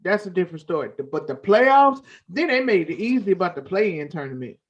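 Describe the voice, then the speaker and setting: A man with a moderately high voice chats as a panelist in a casual sports debate. His manner is questioning and conversational. The audio sounds a bit dull. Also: very animated, a little fast. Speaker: talk show panelist.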